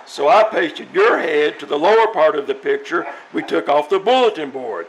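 A man's voice: fairly high pitch, lively intonation, normal speed, lightly acted, low-quality recording. A man playing a dim, earnest teenage schoolboy with a gawky, hesitant manner in an old-time radio comedy. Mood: earnest, puzzled. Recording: live stage recording with background noise.

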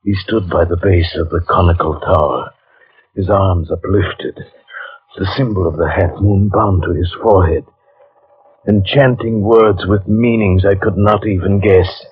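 Haunted adventurer narrating 1940s radio horror, real low voice, grave n intense, muffled old recording. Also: male voice, a little hiss or hum. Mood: hushed, watchful. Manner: measured, ornate, dramatic storytelling. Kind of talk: 1940s radio drama acting, first-person narration.

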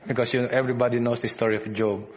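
A man with a Filipino accent preaches a sermon to a congregation, stopping often with fillers and restarting his sentences. His voice sits at an ordinary middle pitch. The church recording sounds a little dull and lo-fi. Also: flat delivery. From Philippines. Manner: halting, many fillers and restarts. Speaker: visiting preacher. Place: church service.

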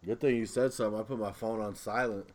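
A man's voice: lowish voice, steady tone, fast. A man co-hosting a podcast in casual chat, talking in a rambling, loosely phrased way. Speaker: podcast co-host.

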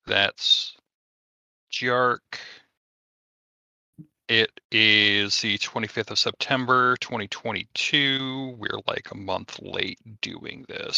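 A man's voice: fairly low pitch, creaky, pushed and bright, no echo. A man casually discussing a tabletop roleplaying session as one of the players.

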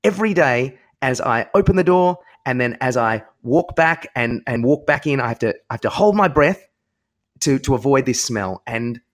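A man's voice: mid-range voice, animated, normal speed. A young Australian man co-hosting a comedy podcast with blunt, teasing banter and comic rants. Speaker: podcast co-host. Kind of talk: casual comic banter and ranting.

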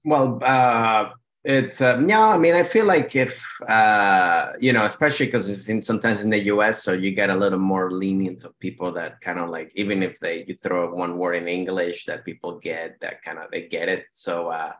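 Low-voiced Venezuelan man chatting. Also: fairly even intonation, normal speed, a bit bright. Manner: easygoing, laughs often, many fillers. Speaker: comedian guest. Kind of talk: casual podcast chat.

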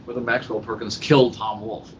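A man's voice: medium pitch, little pitch movement, fairly quick. A man hosting a casual podcast panel, talking discursively in stop-start phrases with repeated words. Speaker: podcast host. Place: hotel at a convention. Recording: dull, noisy internet-call recording with room ambience.

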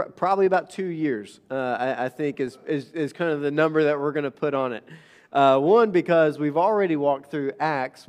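A man's voice: mid-range voice, a little mellow. A male pastor giving a conversational sermon, nasal from a recent illness, with frequent fillers and restarts.

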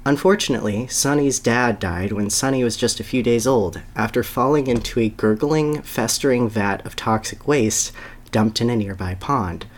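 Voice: medium pitch, normal speed. A podcast host talking casually and reading a story aloud as a dramatic reading.

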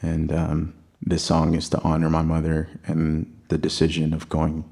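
A young man on a concert stage with a very deep voice that stays low and even. He tells a reflective personal story to lead into his song. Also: moderate pace, vocal fry, breathy edge. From Greece, raised in Texas. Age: young adult. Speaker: singer-songwriter performing live. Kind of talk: spoken song introduction on stage. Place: live concert hall stage.

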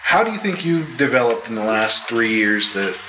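A man chatting casually on a live panel, with a wry, teasing manner and halting phrasing. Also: medium pitch, big pitch swings. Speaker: panelist, audio drama maker. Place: live convention panel by a restaurant window. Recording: echoey, noisy live panel ambience.

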